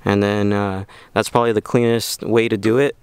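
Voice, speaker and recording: A man's voice: lowish voice, little pitch movement, fairly quick, darkish tone, clear, resonant tone, dry recording. A man hosting an informal how-to video, explaining things step by step in a casual way. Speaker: video host.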